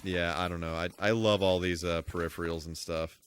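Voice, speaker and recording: A man's voice: deep voice, fairly even intonation, a little fast, a little hiss or hum. A man doing casual live commentary as he streams a video game, rambling and thinking aloud as he goes. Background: music behind the voice.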